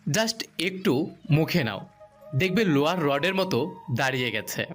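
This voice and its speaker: A man's voice: on the high side, sing-song, normal speed, a bit bright. A man playing a pushy, coaxing newlywed husband in a romantic audio drama. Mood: insistent, commanding. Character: domineering, insistent young newlywed husband. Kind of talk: romantic audio story dialogue. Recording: music behind the voice.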